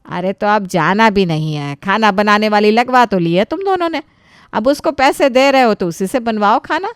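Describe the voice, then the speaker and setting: Woman as older mother-in-law, disapproving, thrifty, middle pitch, dry sound, family story. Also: lively intonation, moderate pace, clear, resonant tone, no echo, close to an everyday voice. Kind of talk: dramatized family story dialogue.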